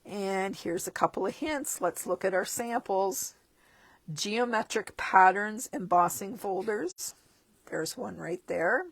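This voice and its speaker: A woman's voice: mid-range voice, slow pace, gravelly, slightly rough, no room sound. A woman demonstrating stamping supplies in a casual walkthrough of a catalog, chatty with chuckling asides, and losing her voice. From Canada. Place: tabletop craft desk indoors.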